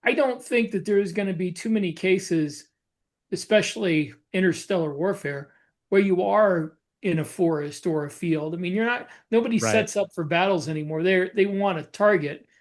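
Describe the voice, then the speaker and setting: Higher-pitched man explaining on a podcast. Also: normal speed. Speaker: podcast co-host and military science fiction author. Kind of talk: casual panel discussion, explanatory.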